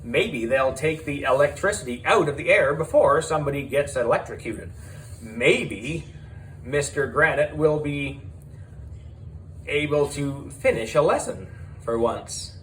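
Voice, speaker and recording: A man narrating a children's audiobook in the character of a schoolboy, animated and deliberate, with dramatic pauses. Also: fairly high pitch, a little hiss or hum.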